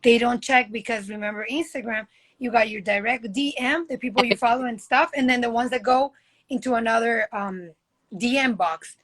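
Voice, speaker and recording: High-voiced woman chatting, halting. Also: a bit bright. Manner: halting, restarts phrases. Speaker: guest. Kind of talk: casual live chat.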